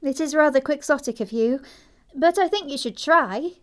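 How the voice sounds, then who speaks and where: A woman's voice: sits high, moderate pace, close to an everyday voice. A woman playing a prim, naive yet pert young upper-class English girl in an audio stage comedy, speaking with artless precision. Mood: teasing, earnest.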